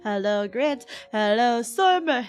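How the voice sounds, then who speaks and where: A woman livestreamer with a hoarse, cracking voice, chatting casually with her viewers in a playful, giggly manner. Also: fairly high pitch, lively intonation, slow. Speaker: livestream host, VTuber. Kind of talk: casual livestream chat, reading and answering chat messages. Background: background music.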